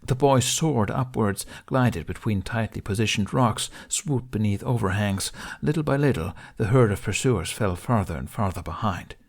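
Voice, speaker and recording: Low-voiced man narrating an audiobook. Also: moderate pace, hardly any pauses. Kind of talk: scripted dramatic story narration.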